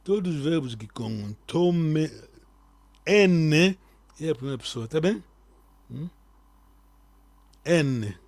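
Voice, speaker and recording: A male language teacher leading an online class. His voice sits a little high and sounds dry and close to the mic. He is patient, quizzes his students and slows down on each new word. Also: lively intonation, a little mellow, dry recording. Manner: patient, quizzing students, repeating key words slowly. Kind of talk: live online language lesson.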